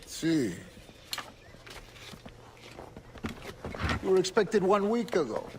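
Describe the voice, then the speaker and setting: A man's voice: high voice, big pitch swings, normal speed, noisy background. A man playing a grave, commanding older grandmaster of a secret order in a video game, with a Spanish accent and formal, ceremonious speech. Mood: measured. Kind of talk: theatrical video game cutscene acting. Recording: music behind.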